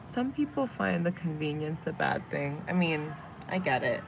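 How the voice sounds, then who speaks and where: Gravelly young woman streaming casually. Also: sits low, sing-song, moderate pace. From San Diego, California. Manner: chatty, rambling, talks to viewers while doing makeup. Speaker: livestreamer and tarot reader. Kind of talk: casual livestream chat. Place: home in Vietnam. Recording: faint background noise.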